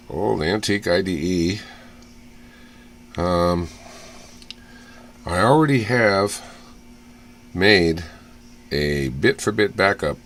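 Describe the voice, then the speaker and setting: A man giving casual, off-the-cuff hands-on commentary in a halting way, as if muttering to himself while he inspects things. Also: low-pitched, a bit bright. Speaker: hobbyist vlogger showing old computer hardware. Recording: clear background noise with room ambience.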